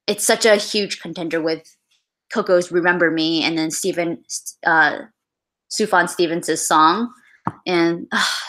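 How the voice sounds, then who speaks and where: A woman's voice: fairly low pitch, fairly bright tone. Chatty woman gushing over films. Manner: chatty, gushing, full of fillers and trailing sighs. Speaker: film vlogger hosting a livestream. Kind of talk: casual livestream commentary.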